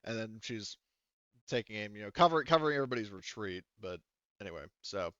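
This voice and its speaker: A man's voice: fairly low pitch, animated, fast talker, fairly bright tone, smooth, no room sound, highs cut off. A man casually improvising narration as game master of a tabletop game, rambling and joking as he goes.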